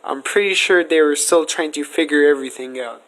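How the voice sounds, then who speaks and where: A man's voice: on the high side, fairly quick, fairly bright tone. A man asking questions in a casual, conversational interview style. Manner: informal, conversational.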